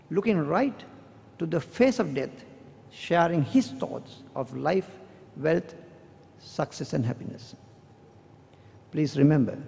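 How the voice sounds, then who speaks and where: Sri Lankan English-accented male surgeon, slightly high voice, formal and deliberate, echoing through a lecture hall. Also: gravelly, darkish tone. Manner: measured, deliberate delivery with slow emphatic stretches. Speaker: surgeon giving an academic address. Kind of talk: formal prepared speech, reading a long quotation. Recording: long hall reverb.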